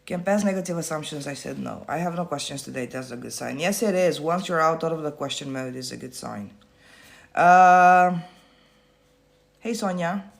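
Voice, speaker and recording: A man's voice: a little high, moderate pace, vocal fry. A man coaching viewers on a phone livestream, answering their comments in a blunt, casual way, swearing freely and repeating himself to make points land. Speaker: manifestation coach.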